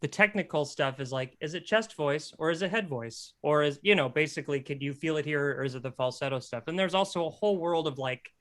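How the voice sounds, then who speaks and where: A man with a high speaking voice, casually coaching others on singing in a group chat. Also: brisk, hardly any pauses. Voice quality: self-described high voice. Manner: animated, explains with sung examples. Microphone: close mic.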